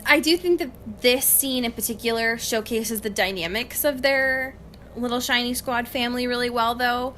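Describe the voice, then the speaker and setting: A woman's voice: fairly high pitch, moderate pace, sharp tone. A young woman co-hosting a casual podcast book chat, wry and giggly, with informal slangy phrasing. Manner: giggly, laughs often while talking. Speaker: podcast co-host. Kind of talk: casual chatty book discussion. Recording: faint background noise.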